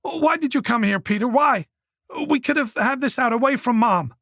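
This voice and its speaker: A man voicing a nervous young man who pleads in a higher, anxious voice. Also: animated, moderate pace, fairly bright tone, dry recording, studio quality, heavily acted. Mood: pleading.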